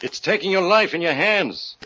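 A man's voice: high voice, animated, normal speed, bright, forceful tone, husky, heavily acted. A man playing a blunt, wisecracking police inspector with slangy, hard-boiled delivery in 1940s radio mystery style. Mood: wry warning. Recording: muffled old-time radio recording.

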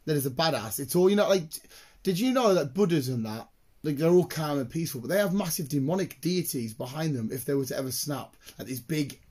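A British man chatting casually in a rambling, excitable, sweary way, with a slightly croaky voice. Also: fairly high pitch, fairly quick. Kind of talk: casual chat, rambling anecdotes.

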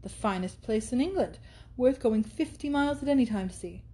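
A woman's voice: on the high side, animated, fairly quick, mellow, close to an everyday voice. A woman reading aloud and voicing a brash, boastful young man who talks over everyone. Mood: blustering. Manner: blustering, insistent.

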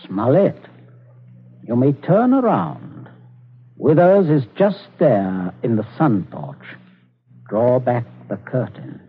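Coolly menacing genteel British patriarch. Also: male voice, medium pitch, very animated, takes it slowly, long pauses, slightly rough, narrow, tinny audio, heavily acted. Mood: cold menace. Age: middle-aged. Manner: urbane, unruffled, politely commanding. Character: menacing genteel English patriarch, coolly murderous, falsely hospitable. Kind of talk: old-time radio drama acting. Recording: thin, band-limited old radio, light noise.

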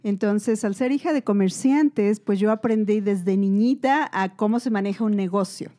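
A woman speaking on a panel about running stores, talking slowly and measuredly with many fillers. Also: medium pitch, mellow. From Latin America. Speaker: guest, store manager. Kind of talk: casual panel talk.

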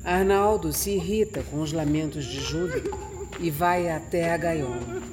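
A man with a Brazilian accent narrating a scripted horror radio drama in a dramatic, deliberate storytelling manner. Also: a little high, very animated, noisy background. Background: music and night insects.

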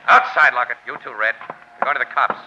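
A man playing a breezy, wisecracking, steady-nerved hero who talks in slang in an old-time radio drama. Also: medium pitch, fast, highs cut off, noisy background, natural voice. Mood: wry, in command. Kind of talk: 1940s radio acting.

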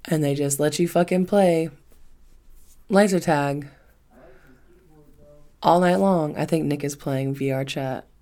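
A woman's voice: low voice, slow pace, pauses often, warm tone. A woman in her twenties chatting casually on a solo podcast, rambling and swearing freely with emphatic repetition. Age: 20s. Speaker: podcast host.

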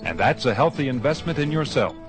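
A man's voice: medium pitch, big pitch swings, a little fast. A man reading a scripted radio advertisement as a sponsor announcer. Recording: clear music behind the voice.

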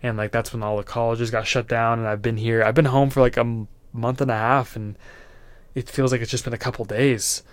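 A man's voice: mid-range voice, level tone, fairly quick, dry recording. A man in his twenties hosting a solo podcast, giving earnest motivational advice in a loose, rambling way with lots of fillers. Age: 20s. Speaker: podcast host.

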